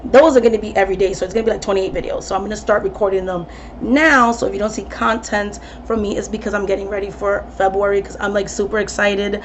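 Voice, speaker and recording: A woman's voice: medium pitch, steady tone, quick pace, slight background noise. A woman tarot reader in her thirties talking casually to camera, chatty, with many ums and restarts. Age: 30s. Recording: slightly dull recording with faint ambience.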